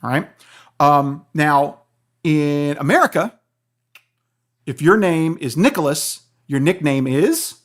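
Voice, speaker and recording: A man's voice: a little high, animated, takes it slowly, pauses often, a bit bright. Male professor explaining at length. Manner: professorial, checks in with "right?". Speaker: guest philosophy professor. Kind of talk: explanatory interview talk.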